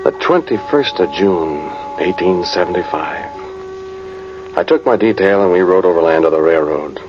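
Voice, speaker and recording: A man's voice: low-pitched, animated, normal speed. An American man playing a folksy, plain-spoken old cavalry sergeant telling his tale in a vintage radio drama. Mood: reminiscing. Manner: rustic, rambling, plain-spoken old soldier. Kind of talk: 1950s radio drama narration. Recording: muffled noisy vintage radio recording.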